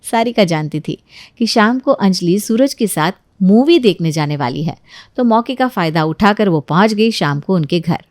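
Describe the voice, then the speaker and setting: A woman's voice: medium pitch, animated, normal speed, darkish tone, dry recording, studio quality. A woman giving scripted narration as the storyteller of a domestic tale.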